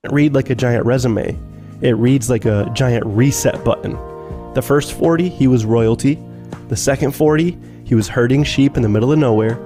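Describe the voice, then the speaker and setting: A man's voice: mid-range voice, normal speed, dark tone. A man presenting on radio in a conversational, reflective scripted monologue style. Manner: reflective, wryly self-deprecating. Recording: music bed behind the voice. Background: light guitar music.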